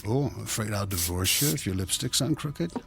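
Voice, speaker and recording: An actor playing a sarcastic, condescending husband who coaxes and schemes, in a radio drama. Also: male voice, fairly low pitch, animated, brisk, creaky, fairly bright tone, breathy edge, exaggerated voice. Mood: sardonic. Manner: sardonic, coaxing.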